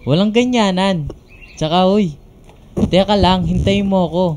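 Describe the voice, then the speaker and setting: Cheeky flirt, slightly high voice. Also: male voice, unhurried, a little hiss or hum. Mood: playful. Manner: teasing, cocky, playful. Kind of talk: Filipino radio drama acting.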